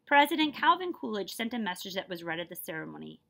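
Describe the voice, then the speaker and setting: A woman's voice: medium pitch, big pitch swings, fast, creaky, fairly bright tone. A woman in her forties reading a picture book aloud expressively, breaking off to explain and check in with listeners. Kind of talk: animated storybook read-aloud with explanatory asides.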